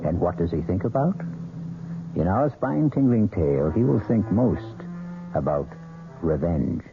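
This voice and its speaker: Low-voiced man hosting old radio mystery, tinny. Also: animated, vocal fry, over music. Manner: suspenseful, measured dramatic phrasing. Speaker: radio mystery host and narrator. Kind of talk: dramatic scripted radio narration. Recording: tinny, band-limited 1970s radio recording.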